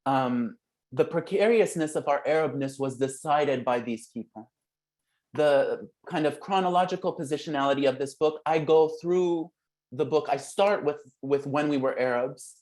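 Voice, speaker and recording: Thoughtful man with a higher voice. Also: a little mellow. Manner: halting, reflective, many fillers and restarts. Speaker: author guest. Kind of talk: conversational talk.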